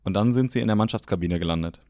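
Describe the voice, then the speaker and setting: A man's voice: fairly low pitch, very fast, darkish tone, no echo, studio quality, close to an everyday voice. A man voicing a friendly young male footballer who talks in an easygoing, amused way.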